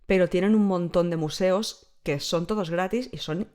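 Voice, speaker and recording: A woman's voice: on the low side, normal speed. A woman in her thirties, a travel blogger guest, chatting casually and with emphasis. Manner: lively, emphatic, talks at length.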